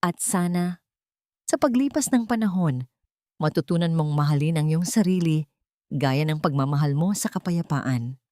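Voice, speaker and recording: A Filipino woman voicing a steadfast, forgiving young mother in an audiobook drama, gentle and measured. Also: deep voice, animated, dry recording, clean studio sound.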